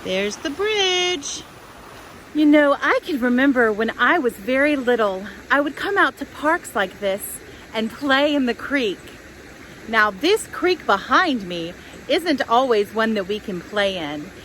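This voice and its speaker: A woman tells a story for small children in simple, expressive phrases. Her voice is fairly high and very lively, delivered without hurry. She is outdoors in a park. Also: unhurried, poor audio. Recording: outdoors with faint wind noise.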